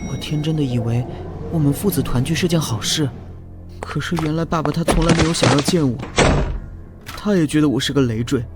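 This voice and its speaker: A man playing a troubled teenage boy full of self-loathing, in an animated drama. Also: a little high, a little fast, close to an everyday voice. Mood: sad, confessing. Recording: loud background music under the voice.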